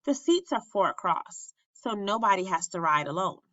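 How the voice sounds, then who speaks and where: A woman reading a children's picture book aloud like a teacher, with expressive, animated and instructive storytelling. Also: mid-range voice, moderate pace. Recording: roomy, slightly muffled sound.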